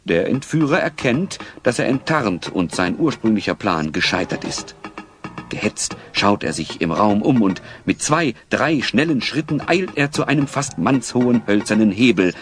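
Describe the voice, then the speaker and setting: A man narrating a German children's audio drama, with dramatic, measured phrasing. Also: lowish voice, big pitch swings, faint music behind. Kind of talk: scripted audio drama storytelling.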